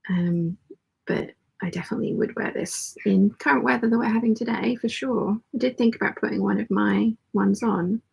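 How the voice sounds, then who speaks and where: A woman with a croaky voice, chatting casually and ramblingly as the host of a live hangout. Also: medium pitch, normal speed, a little mellow, rough recording. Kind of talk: casual livestream chat, reading out viewer comments.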